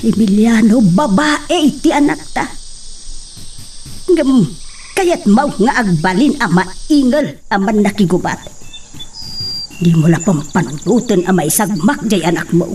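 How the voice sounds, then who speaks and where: A woman's voice: medium pitch, animated, a little slow, pauses often, darkish tone, distant mic, a little hiss or hum, natural voice. A woman playing a worried, pious mother in a radio drama, pleading and lamenting.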